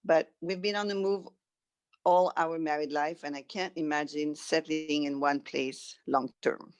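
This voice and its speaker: A woman's voice: deep voice, fairly even intonation, unhurried. A middle-aged woman from France with a French accent, reading a written personal story aloud as a guest.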